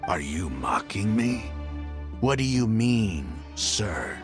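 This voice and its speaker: An actor playing a devout, proud and touchy desert zealot leader in a video game, with a forceful, gravelly delivery. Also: male voice, lowish voice, very animated, over music. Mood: irritated. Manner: fervent, slow, dramatic. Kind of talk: video game character acting.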